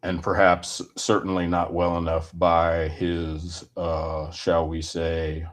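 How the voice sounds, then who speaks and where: Man, low voice, very slow pace, deliberate halting delivery, wordy formal phrasing, opinion monologue. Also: completely flat delivery. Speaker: commentator giving his personal opinion.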